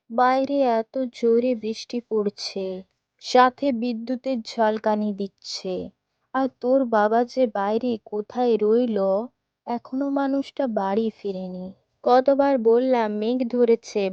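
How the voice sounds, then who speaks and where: Woman acting a fretful, mellow-voiced cartoon mother. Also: fairly high pitch, dry recording, lo-fi sound, lightly acted. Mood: worried. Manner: fussing, chiding. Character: fretful, scolding but caring village mother.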